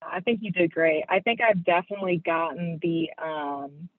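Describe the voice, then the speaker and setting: A woman's voice: fairly low pitch, normal speed, no room sound. A young woman from Maryland speaking casually as a panelist, chatty and full of fillers. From Maryland, USA. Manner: chatty, many fillers like "you know" and "like". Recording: phone-like narrow sound.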